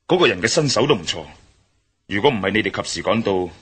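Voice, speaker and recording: An actor playing a bold, curious young adventurer in a radio drama, speaking with a Cantonese accent and often thinking aloud. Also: male voice, on the low side, animated, quick pace, fairly bright tone, slightly rough, full character voice. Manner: muses aloud in inner monologue. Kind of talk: 1960s-style radio drama acting.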